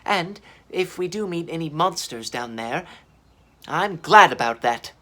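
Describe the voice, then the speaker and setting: A man's voice: on the high side, expressive, measured pace, pushed and bright, pitched above the performer's own voice. A man voicing an anxious but game young female dinosaur in a children's story, speaking tentatively. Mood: uneasy.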